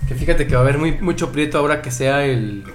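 A man's voice: medium pitch, fast talker, slight background noise. A man with a Mexican accent co-hosting a podcast, rambling in slangy, easily amused casual talk. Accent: Mexican Spanish. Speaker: podcast co-host. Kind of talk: casual chat.

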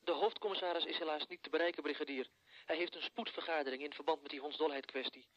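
A man's voice: a little high, steady tone, fast, a bit bright. An actor in a radio play playing a polite, formal police switchboard operator, heard through a telephone. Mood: brisk, formal. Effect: telephone filter. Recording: dull telephone line sound.

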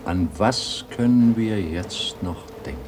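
A very low-voiced old grandfather speaks slowly and haltingly in a radio play. He sounds wistful and troubled, with faint noise on the recording. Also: animated. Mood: shaken. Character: troubled old grandfather longing for the remembered outside world. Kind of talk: German radio play acting.

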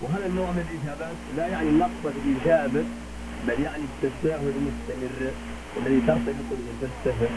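A man giving a formal, didactic scripted radio lecture, posing rhetorical questions, heard over shortwave radio. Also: mid-range voice. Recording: noisy crackly shortwave radio broadcast.

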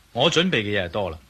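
An actor playing a confident, determined adventurer hero in a Cantonese radio drama, breezy and assured, with a Hong Kong Cantonese accent. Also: male voice, on the low side, sharp tone, slightly rough, heavily acted.

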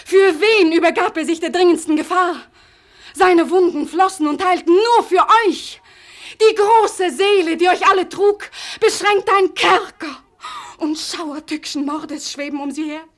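A fervent young woman in an old radio drama. Her voice is very high and she declaims slowly, with passion and dramatic swells. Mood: pleading, passionate. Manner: impassioned, declamatory, dramatic shifts from hush to cry. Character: fervent young woman rallying townsfolk to save her beloved. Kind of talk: 1940s German radio play acting, classical declamation.

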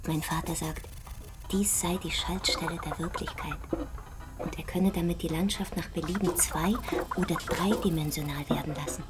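Loud noise surrounds an actress as an unimpressed woman in a satirical radio play. Her voice is a little low and she speaks in a dry, deadpan, matter-of-fact way. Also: normal speed, natural voice. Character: dry, unimpressed railwayman's daughter showing visitor around. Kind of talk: satirical radio drama acting.

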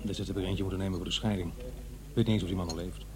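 A man's voice: low voice, fairly even intonation, fast, crowd noise, natural voice. An actor playing a practical, sceptical middle-aged divorced father in a Netherlands Dutch radio play, both talking and narrating. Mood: probing, defensive.

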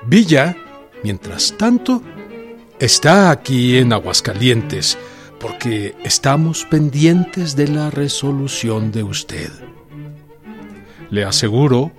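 Slow, emphatic revolutionary statesman. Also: mid-range voice, very animated. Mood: earnest, firm. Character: earnest revolutionary president pleading firmly by letter. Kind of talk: dramatic letter reading in Spanish-language radio drama. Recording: faint string music behind voice.

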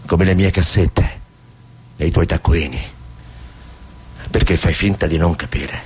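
An actor playing a weary, anguished cameraman who has died, in an emotional, insistent stage style with a husky voice. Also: deep voice, unhurried, a little mellow, slight background noise. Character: anguished, weary male war cameraman, speaking after death. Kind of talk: dramatic stage acting.

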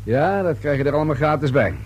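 An actor playing a dry, persistent police inspector in a radio play, questioning in a measured, searching way. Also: male voice, on the high side, lively intonation, breathy edge, dull audio. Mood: probing, dry. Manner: measured questioning with hesitant fillers. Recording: hummy, noisy old radio recording.

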